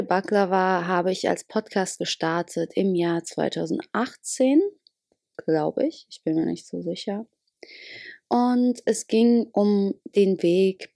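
A young woman hosts her podcast from her bed, speaking slowly in a relaxed, rambling way full of small hesitations. Her voice is low, dark and gravelly with fry. The sound is close and dry, with no room around it. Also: dry recording. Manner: relaxed, rambling, many fillers. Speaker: podcast host. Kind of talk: casual spontaneous monologue.

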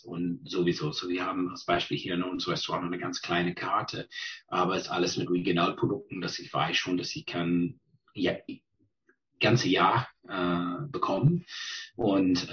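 A middle-aged Canadian man, a native English speaker and restaurant chef, speaking halting German full of fillers and restarts in a casual interview. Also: low-pitched, a bit bright. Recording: dull lo-fi sound.

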